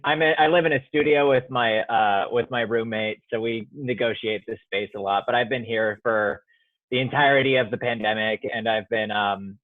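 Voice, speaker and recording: A man's voice: mid-range voice, no room sound. A young man from Iowa talks through a narrow, phone-like line with no room sound at all. He is a student playwright on a remote call, and he rambles and restarts his thoughts as he goes. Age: young adult. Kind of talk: remote interview conversation. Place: home studio apartment. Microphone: phone or video call. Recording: dry tinny phone line.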